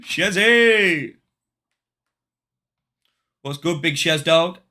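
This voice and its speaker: A man's voice: fairly high pitch, animated, drawn-out words, sharp tone. A young man chatting casually on a live trading stream, slangy and swearing as he banters with viewers. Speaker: day trading livestreamer.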